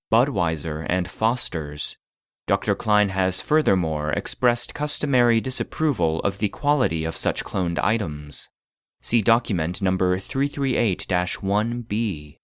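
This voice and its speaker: A man playing an impassive database voice, reading classified files in a formal, measured, clinical manner for a scripted audio drama. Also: lowish voice, no echo, clean studio sound. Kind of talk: scripted narration of clinical reports.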